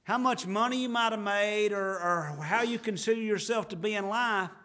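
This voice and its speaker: A man's voice: sits high, moderate pace, bright, forceful tone. A man in his fifties preaching a sermon in an earnest, conversational way with folksy asides. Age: 50s. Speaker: pastor.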